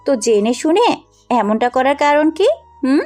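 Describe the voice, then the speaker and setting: Audio drama daughter: blunt, caring young woman played by a woman, animated high voice, music underneath. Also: unhurried. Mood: firm, concerned. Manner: blunt, insistent. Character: headstrong, compassionate young woman, outspoken businesswoman daughter. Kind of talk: Bengali audio story acting.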